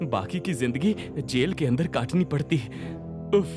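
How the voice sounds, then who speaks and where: Man acting a flustered, animated greedy village milkman. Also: mid-range voice, unhurried, natural voice. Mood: relieved panic. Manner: flustered, stammering, exclamatory. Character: greedy, nervous, irritable village milkman husband. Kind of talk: children's moral story cartoon dubbing. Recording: music behind the voice.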